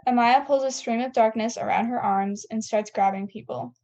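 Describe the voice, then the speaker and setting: A woman's voice: a little high, fairly even intonation, normal speed, dark tone. A woman reading scene headings and stage directions as narrator of a table read, on a dull lo-fi recording.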